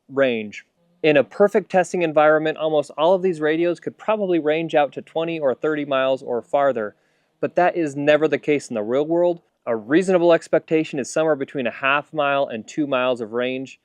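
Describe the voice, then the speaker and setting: A man's voice: a little high, fairly quick, dry recording. American man, casual gear reviewer, dry close sound. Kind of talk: casual product review to camera. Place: backyard, outdoors.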